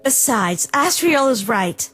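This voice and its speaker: A woman voicing a firm, fair-minded young princess in a fan-dubbed comic, earnest and gently reproving. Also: on the high side, sing-song, slow pace, exaggerated voice. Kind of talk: fan-dub comic voice acting. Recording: voice over background music.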